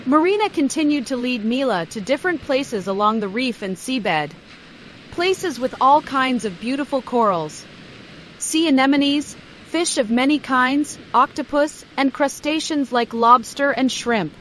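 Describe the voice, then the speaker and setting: High-pitched, animated woman storyteller. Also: measured pace, a little hiss or hum, rough recording. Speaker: narrator. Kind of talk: scripted children's bedtime story narration.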